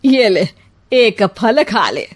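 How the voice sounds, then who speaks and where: Woman acting a proud, slow-talking mother-in-law. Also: medium pitch, big pitch swings, slightly rough, heavily acted. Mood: self-justifying. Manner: chiding, boastful. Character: proud, stubborn older mother-in-law, chiding and self-justifying.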